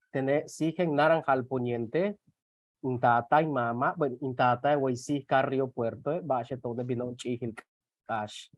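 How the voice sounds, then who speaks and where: A man's voice: a little high, a little mellow, no room sound. A man in his forties with a Yucatec Maya accent, Maya as his first language, speaking hesitantly with many fillers as a panelist in an online talk. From Mexico. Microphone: video call.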